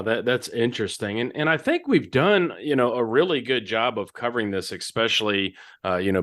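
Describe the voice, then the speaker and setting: A man's voice: lowish voice, fairly bright tone. A man hosting a podcast interview, friendly and conversational as he asks open questions. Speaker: podcast host.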